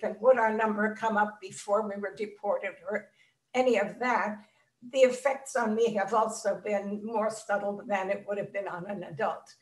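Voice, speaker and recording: Older woman, reflective, echoey call. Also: medium pitch. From Czechoslovakia. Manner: measured, reflective storytelling with pauses. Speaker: Holocaust survivor speaker. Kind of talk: personal testimony in an interview. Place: home room. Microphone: video call. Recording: echoey video call audio from a distance.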